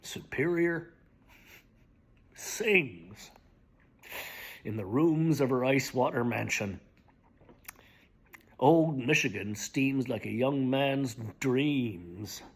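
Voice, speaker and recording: A middle-aged man giving a slow, theatrical reading of lyrics, often dropping to a hushed whisper. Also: medium pitch, expressive, breathy edge. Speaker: dramatic reader. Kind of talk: dramatic spoken reading of song lyrics.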